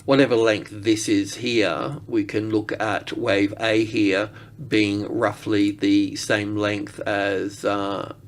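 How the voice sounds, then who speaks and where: A man giving unscripted market analysis as he works through charts, rambling and halting as he thinks aloud. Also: lowish voice, flat delivery.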